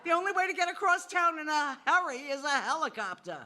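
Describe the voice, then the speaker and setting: A woman's voice: high voice, lively intonation, fast talker, sharp tone, exaggerated voice. An actress playing an exasperated, sarcastic New York woman who works in banking, lecturing in a live audio play. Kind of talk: live stage audio drama.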